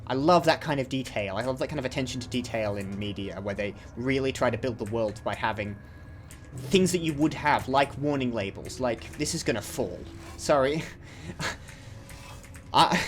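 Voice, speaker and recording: A man's voice: medium pitch, normal speed, a little hiss or hum. Chatty man rambling over gameplay. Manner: rambling, chatty, self-interrupting. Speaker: let's play host. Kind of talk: casual gameplay commentary.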